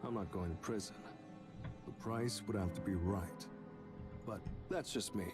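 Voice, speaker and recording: A man acts an unscrupulous, morbid morgue worker for a visual novel. The voice is on the low side, terse and deadpan. Music is clearly audible behind it. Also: measured pace, close to an everyday voice. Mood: casual, morbid. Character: morbid, unscrupulous male morgue worker, laid-back admirer of killer.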